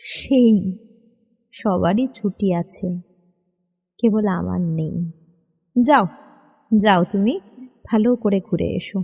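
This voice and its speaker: A woman's voice: medium pitch, takes it slowly, vocal fry, pauses often, a little mellow, slightly rough, full character voice. Woman acting a weary sarcastic young mother, echoey. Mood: resigned. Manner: sighing, sarcastic, long-suffering. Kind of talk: acted family sketch. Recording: reverberant hall-like echo.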